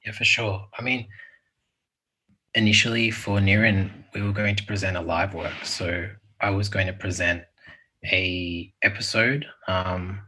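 Very deep, husky, flat-voiced man. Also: measured pace, rough recording. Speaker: artist guest. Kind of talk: casual panel talk.

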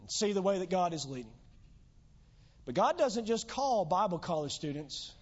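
A man's voice: high-pitched, sing-song, normal speed, breathy edge. A pastor preaching a sermon in church, losing his voice, with earnest, emphatic exhortations. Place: church sanctuary. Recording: dull lo-fi church recording.

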